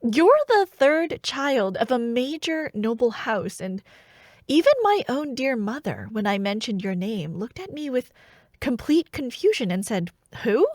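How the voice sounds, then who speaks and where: Slow, teasing scheming princess, acted by a woman. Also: fairly high pitch, big pitch swings, no room sound, studio quality. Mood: amused, intrigued. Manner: hushed, teasing, slow, haughty delivery. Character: scheming, teasing young royal princess at court. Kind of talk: intimate ASMR fantasy roleplay monologue.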